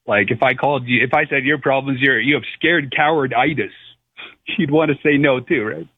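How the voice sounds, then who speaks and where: A man in his thirties calling in to a radio show over the phone, chatting in a casual, rambling, halting and jokey way. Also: mid-range voice, slightly rough, dry recording. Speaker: caller, comedian. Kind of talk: casual chat. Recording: tinny, narrow phone line on radio.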